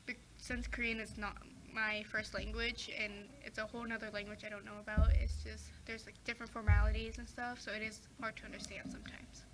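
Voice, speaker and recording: A woman's voice: mid-range voice, little pitch movement, a little fast, hardly any pauses, music in the background, low-quality recording. A teenage girl talking casually as a guest, full of "like" and "um" and restarting her sentences. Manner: chatty, many fillers and restarts. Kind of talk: casual chat.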